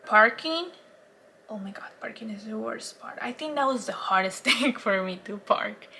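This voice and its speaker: A woman's voice: a little high, lively intonation, moderate pace, pushed and bright, slight background noise. A woman from Colombia with a Colombian Spanish accent, Spanish as her first language, chatting casually in a rambling vlog with frequent laughs and restarts. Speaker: vlogger.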